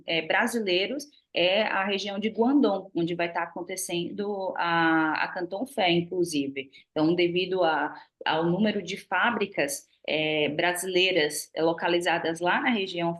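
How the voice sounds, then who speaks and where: A Brazilian woman speaking with a Brazilian Portuguese accent as a guest in a business webinar. She talks freely and chats, with many fillers and restarts. The sound is that of a video call. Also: fairly low pitch. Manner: chatty, frequent fillers and restarts. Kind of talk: webinar talk. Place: lives in China.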